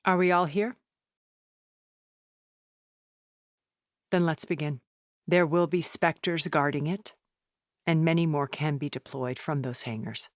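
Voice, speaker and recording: An actress playing a refined, cultured, coolly composed older woman in a video game, speaking in a poised, deliberate, articulate way. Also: deep voice, steady tone, natural voice. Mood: businesslike. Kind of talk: scripted video game dialogue, art commentary.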